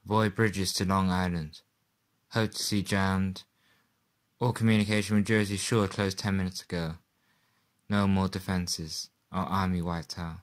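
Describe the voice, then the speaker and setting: Radio play role: man as doomed eyewitness reporter, deep voice, grave and terse, halting delivery. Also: level tone. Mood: grim resolve.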